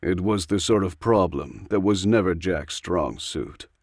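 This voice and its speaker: Male audiobook reader for scripted fiction narration, lowish gravelly voice, very dry recording. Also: unhurried, dry recording.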